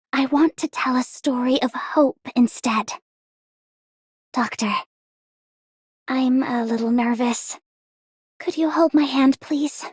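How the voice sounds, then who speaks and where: A woman voicing an earnest, shy young female leader in a game, soft, measured and sincere. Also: high voice, husky, dry recording, studio quality, full character voice. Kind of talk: game character voice line.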